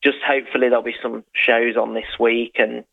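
A man with an English Midlands accent calls in to a radio show and speaks in halting, start-stop phrases. His pitch is middling. He comes through a thin, tinny phone line. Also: little pitch movement, gravelly. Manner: hesitant, full of restarts. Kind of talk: casual phone-in chat.

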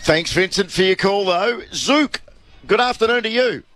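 High-voiced Australian sports radio host. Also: male voice, fairly bright tone. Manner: brisk, chatty, runs the segments. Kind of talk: live sports talk radio.

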